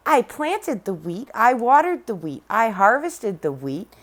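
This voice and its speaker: A woman's voice: very animated, a little slow. A woman voicing a fussy, complaining little red hen in a storybook read-aloud. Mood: indignant. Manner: shrill, indignant, nagging.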